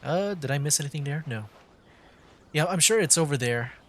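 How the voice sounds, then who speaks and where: A man's voice: on the high side, lively intonation, normal speed. A man giving casual, rambling gameplay commentary as a streamer, thinking aloud as he plays. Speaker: game streamer. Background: game music.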